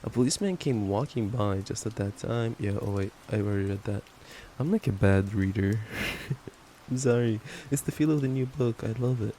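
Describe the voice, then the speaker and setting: A man's voice: fairly low pitch, normal speed, dark tone. Young guy doing boyfriend asmr bedtime reading, playful n stumbly, rainy noise behind him. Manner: affectionate, playful, stumbling reader given to giggly asides. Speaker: ASMR roleplay performer playing a boyfriend. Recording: noisy track with ambient rain sounds. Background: rain ambience.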